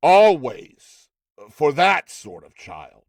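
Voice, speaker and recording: A man's voice: on the high side, very animated, drawn-out words, no room sound, clean studio sound, heavily acted. A man voicing an affable, uncanny shopkeeper and conjurer in a storybook reading, courteous and emphatic in a theatrical way. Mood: assured, courteous.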